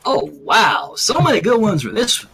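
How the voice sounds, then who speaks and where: A man voicing a brash, excitable young female cartoon character who exclaims bluntly. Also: high voice, moderate pace, slightly rough, exaggerated voice. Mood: impressed.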